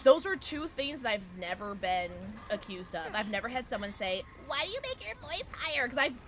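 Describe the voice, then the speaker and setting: A woman streamer with a low alto voice, chatting casually with her viewers while she plays, sarcastic and quick to break into squeals and laughs. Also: sing-song, fairly bright tone. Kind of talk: casual livestream chat while gaming.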